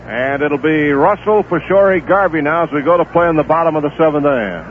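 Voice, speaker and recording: A man calling a baseball game play-by-play on radio, steady and unhurried, filling the gaps between pitches with stats and notes on the players. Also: slight background noise. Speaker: play-by-play announcer. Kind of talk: live baseball radio commentary. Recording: tinny 1970s AM radio broadcast.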